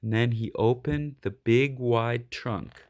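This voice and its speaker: A man reading a children's picture book aloud expressively, leaning on key words with deliberate, dramatic emphasis. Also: mid-range voice, darkish tone. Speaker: storybook reader.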